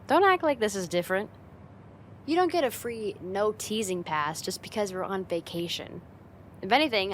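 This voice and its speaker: A confident young woman played by a female voice actor teases the listener in an ASMR roleplay. Her pitch moves around a lot and her delivery is casual and playful, with a touch of fond exasperation. A faint low rumble sits behind the voice. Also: fairly bright tone. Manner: playful, teasing, casual, giggly, lightly exasperated. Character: teasing, confident young woman, a friend's older sister.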